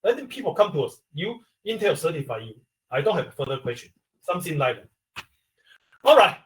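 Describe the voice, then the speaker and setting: A man's voice: fairly high pitch, very animated. Taiwanese man, distant from the mic. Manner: explaining step by step in halting English. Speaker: industry expert guest. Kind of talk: webinar answer to a question.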